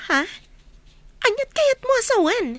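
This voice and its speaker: A woman's voice: extremely high pitch, big pitch swings, measured pace, fairly bright tone, exaggerated voice. A woman playing a smug, bitter pregnant mistress in an Ilocano radio drama.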